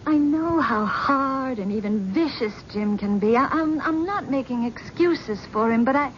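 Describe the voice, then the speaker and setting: An actress playing an anxious middle-aged wife who speaks in a halting, pleading way. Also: female voice, sits high, animated, a little hiss or hum. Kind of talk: 1970s radio drama acting. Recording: dull vintage radio broadcast.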